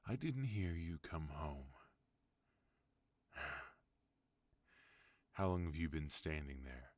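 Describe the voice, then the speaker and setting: A man playing a commanding mafia boss husband in an ASMR audio roleplay, speaking with cold, measured menace. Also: a bass voice, big pitch swings, no room sound, full character voice. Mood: weary, tender.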